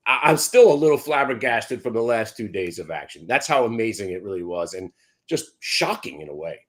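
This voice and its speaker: A man who runs a trading room, talking excitedly about market action and his upcoming show. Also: lowish voice, fairly quick. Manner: excited, repeats himself. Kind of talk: casual show talk.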